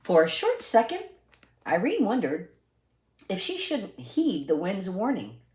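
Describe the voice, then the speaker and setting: A woman reading a children's picture book aloud expressively, deliberate and emphatic, with asides to listeners. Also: medium pitch, wildly swooping pitch, a little mellow. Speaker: read-aloud storyteller.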